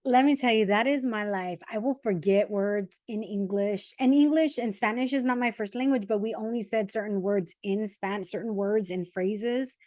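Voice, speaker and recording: A woman hosting a podcast interview, a lively storyteller who mixes Spanish words into her English. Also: medium pitch, moderate pace, few pauses, no room sound, studio quality. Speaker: podcast host. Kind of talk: casual interview chat.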